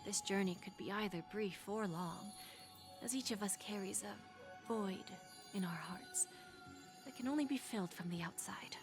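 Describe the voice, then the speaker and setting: An actress playing a poised, seductive and patient female power broker in a video game, speaking in a composed, unhurried, teasing manner. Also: mid-range voice, music in the background, natural voice. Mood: solemn, composed. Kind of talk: scripted game voice acting.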